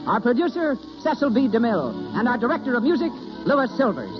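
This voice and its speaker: Male announcer, strikingly high voice, sweeping intonation, formal, 1930s radio, music behind. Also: wildly swooping pitch, brisk, muffled recording. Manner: formal, proclamatory, showman-like.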